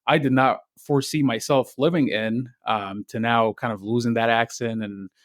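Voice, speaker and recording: A young man from Egypt, a guest on a podcast, speaking in a soft and friendly way with many ums. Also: mid-range voice, fairly even intonation, normal speed, dry recording, clean studio sound. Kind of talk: casual interview.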